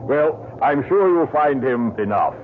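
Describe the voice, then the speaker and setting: Smug, pompous lord played by an actor, noisy radio. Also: male voice, mid-range voice, lively intonation, unhurried, natural voice. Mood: smug, sly. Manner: pompous, chuckling condescension. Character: smug, blustery English lord, male, slyly prying villain. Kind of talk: old-time radio mystery acting. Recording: noisy narrowband old radio broadcast.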